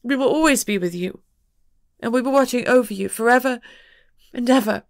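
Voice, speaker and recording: A woman's voice: fairly high pitch, a little fast, dry recording, clean studio sound, voice pushed up, close to an everyday voice. A woman doing a tender, soothing mother. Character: tender, gentle mother, warm and reassuring.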